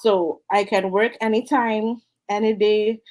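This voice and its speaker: A woman from Trinidad and Tobago with a Trinidadian accent, speaking on a video call as an interview guest, earnest and warm and quick to laugh. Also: medium pitch, fairly even intonation. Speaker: guest, online business mentor. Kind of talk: casual interview.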